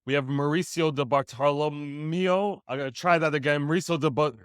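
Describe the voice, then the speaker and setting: A man's voice: on the high side, fairly even intonation, fairly quick, clear, resonant tone, no room sound, clean studio sound. A man hosting a podcast, giving an upbeat intro and asking interview questions. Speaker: podcast host.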